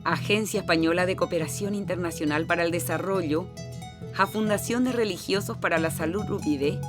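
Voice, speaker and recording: A woman presenting a radio health program, reading a script in a measured announcing manner. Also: on the low side. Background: music.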